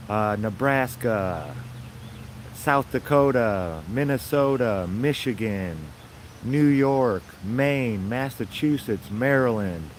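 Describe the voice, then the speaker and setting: Man, raspy voice, rambling upbeat vlog chat, outdoors, faint traffic behind. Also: mid-range voice. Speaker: vlogger. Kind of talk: casual chat to viewers.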